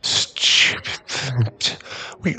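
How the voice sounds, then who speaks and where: A man playing a drunk, clingy, lovesick boyfriend in an intimate ASMR roleplay, with halting, pleading speech and a husky, gravelly voice. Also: bright, forceful tone, full character voice. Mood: surprised, smitten. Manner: tipsy, halting, drawn-out, pleading delivery.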